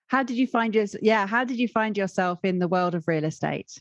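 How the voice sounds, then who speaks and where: A woman's voice: medium pitch, animated, brisk, no echo, lo-fi sound. A woman hosting a podcast, asking questions in a conversational interview style. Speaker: podcast host.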